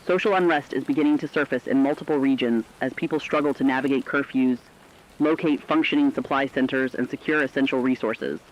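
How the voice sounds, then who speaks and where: Through dull, lo-fi radio sound comes a man acting a serious male news correspondent. He reads scripted dystopian crisis reports at a medium pitch, formal and measured throughout. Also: steady tone, natural voice.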